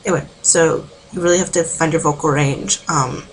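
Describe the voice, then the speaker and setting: A woman giving a casual, rambling vocal warm-up tutorial from home, full of filler words. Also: low voice, steady tone, fairly quick, slight background noise, rough recording. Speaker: amateur singing tutor.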